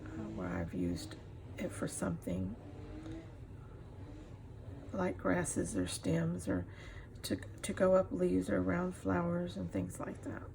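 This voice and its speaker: A middle-aged woman giving a casual craft demonstration as she works, pausing often to think. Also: fairly low pitch. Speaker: craft tutorial host. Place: indoors at a craft table. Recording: clear background noise, room ambience.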